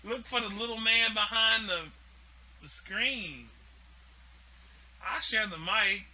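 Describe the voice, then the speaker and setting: A man's voice: high-pitched, takes it slowly, bright, forceful tone, low-quality recording. A man hosting a live stream, delivering a heated, profane and repetitive ranting monologue.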